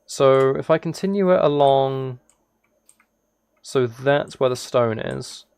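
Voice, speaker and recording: A man chatting casually as a live game streamer, musing aloud in a relaxed, low-key way. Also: slow, vocal fry, clean tone. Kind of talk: casual chat over Minecraft gameplay.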